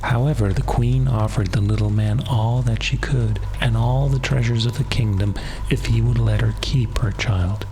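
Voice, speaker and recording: A man reading a scripted fairy tale as an audiobook narrator, in a measured, hushed bedtime manner. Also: medium pitch, steady tone, low-quality recording. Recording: music behind the voice. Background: music.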